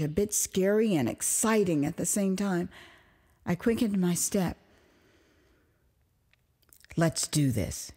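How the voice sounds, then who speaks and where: A woman from the United States narrating her own memoir as an audio drama, with expressive, theatrical delivery, acted asides and dramatic pauses. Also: mid-range voice, breathy edge. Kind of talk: dramatized first-person storytelling.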